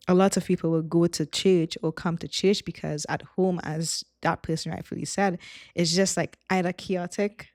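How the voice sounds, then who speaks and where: A woman's voice: lowish voice, no echo, studio quality. A woman with a Trinidadian accent, a former youth pastor chatting casually as a podcast guest and telling stories with animation. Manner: animated storytelling, laughs often.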